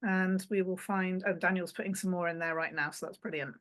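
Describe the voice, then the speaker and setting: A woman's voice: fairly low pitch, fairly even intonation, a little fast, a bit bright. A woman in her thirties moderating an online panel from a home study, talking encouragingly over a video call. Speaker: panel host. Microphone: laptop webcam on a video call.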